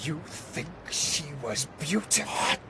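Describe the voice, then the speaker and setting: An actor playing a defiant scientist in a gothic radio drama, agitated, emphatic and formal, stumbling over words. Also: male voice, a little high, very animated, slow, noisy background. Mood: agitated defiance.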